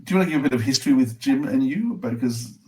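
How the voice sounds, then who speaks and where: A man's voice: mid-range voice, animated, fast, dark tone. A man hosting a podcast, chatting casually with a guest. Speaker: podcast host. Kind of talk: casual podcast interview chat.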